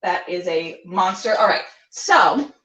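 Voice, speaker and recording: A woman's voice: lowish voice, expressive, takes it slowly, a bit bright. A woman hosting a casual, chatty cooking show, rambling playfully and talking to herself as she goes. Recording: echoey room, distant mic, dull sound.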